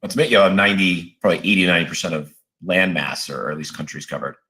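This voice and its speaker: A man with a very deep voice hosts a casual interview. He asks long, friendly questions loaded with fillers. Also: fast talker, bright, forceful tone. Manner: friendly, chatty, filler-heavy.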